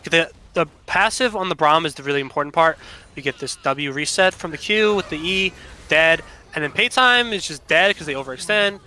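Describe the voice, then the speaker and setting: A man's voice: fairly high pitch, lively intonation, fairly quick, pushed and bright, slight background noise. A man giving casual live analysis and banter as a commentator on a video game match.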